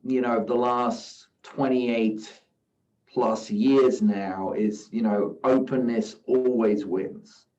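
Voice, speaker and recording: A middle-aged man from South Africa, a guest investor giving measured, reflective interview answers and often saying "you know", at a live event. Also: mid-range voice, fairly even intonation, mellow. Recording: roomy, distant, dull sound.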